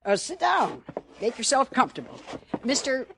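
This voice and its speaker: An actress playing a coy, flirtatious frontier boarding-house madam. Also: female voice, high-pitched, huge pitch swings, moderate pace, heavily acted. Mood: flirty. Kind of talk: 1950s radio drama acting. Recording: old radio recording, faint hiss.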